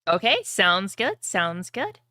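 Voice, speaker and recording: A woman's voice: mid-range voice, sing-song, slow, bright, forceful tone, no echo, clean studio sound. A woman casually improvising narration as game master of a tabletop roleplaying game.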